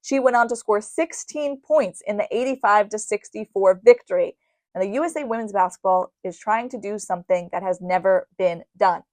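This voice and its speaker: A woman's voice: mid-range voice, normal speed, darkish tone, no echo. Dry-sounding woman hosting sports radio. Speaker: sports radio show host. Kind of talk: sports radio commentary and recap. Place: radio studio.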